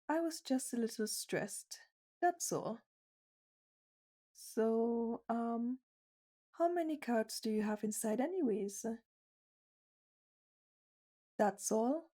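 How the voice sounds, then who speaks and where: A woman's voice: on the high side, warm tone. A woman playing a shy, skittish stray bunny girl in an ASMR roleplay, speaking in a hesitant, easily flustered way. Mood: sheepish, curious.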